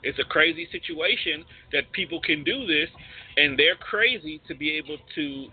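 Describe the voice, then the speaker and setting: A man's voice: a little high, lively intonation, moderate pace, a little hiss or hum. Phone-line male radio host, blunt and slangy. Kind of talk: casual panel discussion. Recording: tinny, band-limited phone-line sound.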